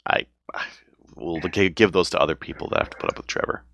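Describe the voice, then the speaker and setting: Deep-voiced deadpan man on a podcast. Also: fast, dry recording, lo-fi sound. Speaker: podcast co-host. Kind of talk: casual chat.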